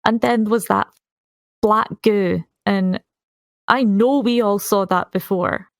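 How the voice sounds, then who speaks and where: A woman's voice: mid-range voice, fairly even intonation, slow, vocal fry, dry recording. A female tabletop player with a mid-range voice in a dry recording with hardly any room sound. She talks casually as she describes and voices her character's part. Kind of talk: casual in-game narration and roleplay.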